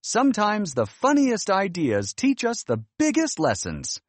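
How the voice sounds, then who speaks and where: Man voicing a kindly, wise storybook grandma, very animated. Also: high-pitched, a little slow, no echo, voice pushed up, full character voice. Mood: amused, wise. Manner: gentle, encouraging, expressive.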